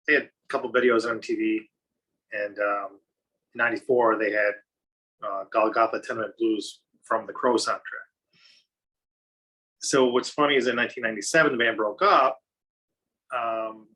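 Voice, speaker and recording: Man, bright husky voice, rambling podcast panelist, casual. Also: lowish voice, rough recording. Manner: rambling, loses his place, chuckles. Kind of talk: casual chat.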